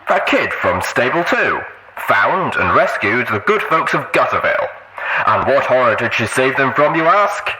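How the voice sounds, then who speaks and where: A man's voice: mid-range voice, very animated, normal speed, very noisy, lightly acted. A man playing a boisterous, exuberant pony radio DJ, announcing to listeners like a showman.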